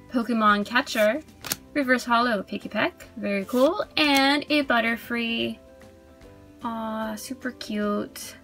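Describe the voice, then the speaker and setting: A young woman hosts a toy unboxing in a casual, chatty style. Her mid-pitched voice is chirpy and gushes over cute things. Soft music plays faintly behind her as she talks. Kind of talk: casual unboxing review chat. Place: indoors at a tabletop display. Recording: background music under voice.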